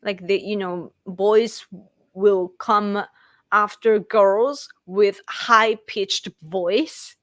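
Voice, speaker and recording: A woman's voice: mid-range voice, very slow, a bit bright, no room sound, clean studio sound. A Japanese woman in her late thirties with a Japanese accent, chatting casually on a live stream and laughing often as she answers viewers. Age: late 30s. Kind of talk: casual chat.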